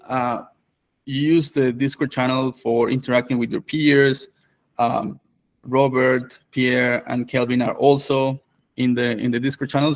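A man, hosting a live online course on a video call, relaxed and full of fillers. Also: medium pitch. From Venezuela. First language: Spanish. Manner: relaxed, many fillers and restarts. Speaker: developer advocate and course host.

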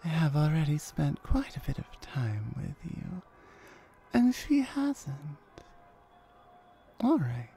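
A woman voicing a confident, flirtatious female hellhound in a roleplay ASMR audio drama, sultry and suggestive, lingering on words with breathy whispers. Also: low voice, sing-song, slow, pauses often, warm tone, heavily acted. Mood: reluctant, teasing.

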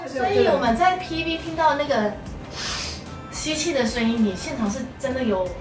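Higher-voiced Taiwanese woman hosting. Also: animated, moderate pace. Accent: Taiwanese Mandarin. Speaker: host. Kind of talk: chatty promotional interview. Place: small wood-panelled room indoors. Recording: roomy, distant mic, music behind.

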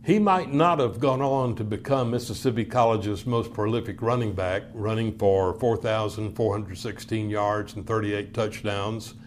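A man reading scripted documentary narration in a measured, formal way. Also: on the low side, a little hiss or hum.

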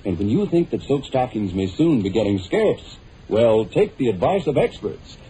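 A man's voice: medium pitch, sing-song, moderate pace, dark tone, lightly acted. An American man reading a commercial as an announcer in 1940s radio style. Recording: muffled, noisy 1940s radio recording.

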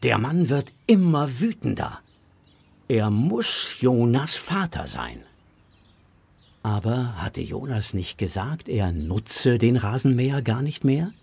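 Male narrator for kids' audio play; unhurried, husky, lively intonation. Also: medium pitch. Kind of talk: expressive scripted narration for a children's audio drama.

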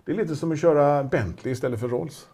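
Husky-voiced man, casual auction chat, meandering delivery. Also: fairly high pitch, dark tone. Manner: chatty, rambling with restarts and fillers. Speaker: auction specialist presenting a car.

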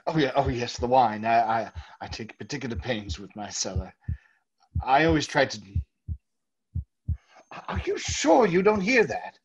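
A man playing a nervous, overpolite murderer hiding his guilt, halting and husky, in old-time radio theater style. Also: medium pitch, sing-song, slight background noise. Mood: jittery. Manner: halting, over-courteous, stammering. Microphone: video call. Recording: dull, lo-fi video call audio.

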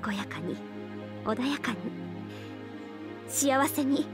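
A woman playing a devoted young half-oni samurai archer in a mobile game, speaking formal, reverent Japanese in a soft voice. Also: high-pitched, takes it slowly. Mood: wistful, grave. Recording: studio voice over game music.

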